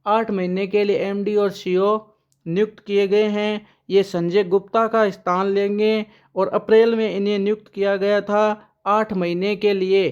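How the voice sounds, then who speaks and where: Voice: mid-range voice, level tone, normal speed, clear, resonant tone, lo-fi sound. A current affairs teacher giving an exam-prep lesson with memory tricks, who asks questions aloud, answers them and repeats the key names.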